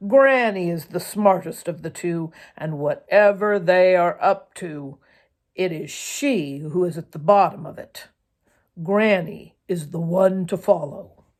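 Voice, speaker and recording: A woman voicing a crafty, scheming old male coyote from a children's storybook, musing in an emphatic, measured way. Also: fairly low pitch, darkish tone, natural voice. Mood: calculating.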